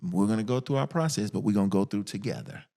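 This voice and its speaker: A man's voice: fairly low pitch, animated, fast talker, creaky, dark tone. A middle-aged American man, a podcast guest, telling personal stories casually in a candid, emphatic way.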